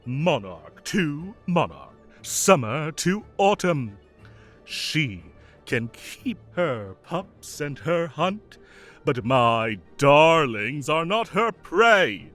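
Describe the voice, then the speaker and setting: A man's voice: a little high, big pitch swings, very slow, fairly bright tone, faint music behind, exaggerated voice. A man playing a whimsical fae king of summer in an audio roleplay, theatrical, playful and grand, with mock indignation and doting fondness for his cats. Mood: protective, imperious.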